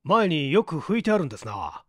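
Audiobook role: police section head, voiced by a man, polite, factual, animated intonation. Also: a little high, brisk, husky, no room sound, clean studio sound, exaggerated voice. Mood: businesslike. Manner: courteous, matter-of-fact. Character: businesslike polite male police detective chief.

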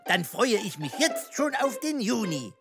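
A woman's voice: mid-range voice, very animated, unhurried, music in the background. A woman voicing a cheeky, grumbling little male earthworm with childish, exclaiming delivery in a children's educational cartoon. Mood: enthusiastic.

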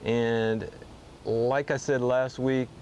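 A middle-aged man, a fly fishing guide, seated in his workshop, giving a casual weekly fishing report to camera with frequent pauses. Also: mid-range voice, a little hiss or hum. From Texas. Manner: relaxed, easygoing, with frequent "uh" pauses. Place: indoor garage-like workshop bar, seated at a table.

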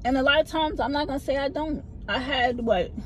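A middle-aged woman telling a story to her phone camera from a parked car, lively and gesturing as she talks, with a low voice that some people find hard to hear. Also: a little fast. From family from the American South. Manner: animated, talks with her hands. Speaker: vlogger. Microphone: phone camera mounted in front of her.

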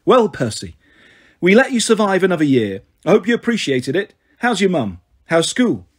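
A man voicing a smug, smooth-talking college-age villain in a storybook, with a taunting manner. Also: high-pitched, very animated, moderate pace, pitched above the performer's own voice, exaggerated voice. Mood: mock friendly. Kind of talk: storybook character voice.

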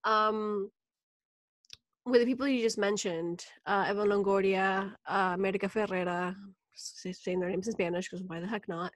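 A woman hosting a podcast conversation in a casual, chatty way, often pausing with fillers and breaking into laughs. Her voice sits at an ordinary middle pitch. The sound is dry and close, with no room echo. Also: steady tone. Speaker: podcast host.

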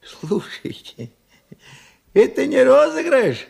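A forgetful old grandfather in a Soviet radio play. He mutters slowly and haltingly in a gravelly, very husky voice, bewildered by everything around him. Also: very high-pitched, very animated, a little mellow, heavily acted. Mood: uneasy, bewildered. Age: older. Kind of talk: Soviet radio drama acting.

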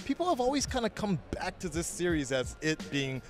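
A man's voice: fairly high pitch, sing-song, fairly quick. A man in his thirties hosting an on-camera fan interview into a handheld microphone, with a casual, enthusiastic manner. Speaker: interviewer and channel host. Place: convention press area, indoors. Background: background music.

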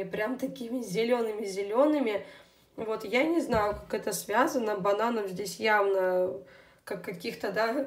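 A young woman who has a child, chatting casually through her beauty products, her voice a little off. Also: on the high side. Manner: chatty, unhurried, with fillers. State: voice somewhat unwell. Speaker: beauty vlogger. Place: indoors at a table, hands on camera. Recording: echoey room.

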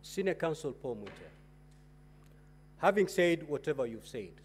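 A middle-aged man with a Kenyan English accent presiding as Speaker over formal chamber proceedings, speaking with halting authority through a desk microphone. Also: on the high side, very animated, a little hiss or hum. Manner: authoritative, halting, with rising emphasis. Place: large parliamentary chamber.

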